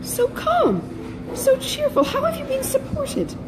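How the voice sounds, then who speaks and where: A woman's voice: extremely high pitch, wildly swooping pitch, measured pace, pitched above the performer's own voice, exaggerated voice, low-quality recording. A woman voicing a passionate, distressed young sister in an audiobook, exclaiming with emotion. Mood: tearful disbelief.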